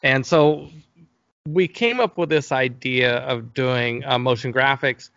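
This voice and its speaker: Halting middle-aged man in muffled, dry audio. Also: medium pitch, vocal fry, fairly bright tone, smooth, no echo. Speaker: interview guest, fantasy film producer. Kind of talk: casual interview conversation.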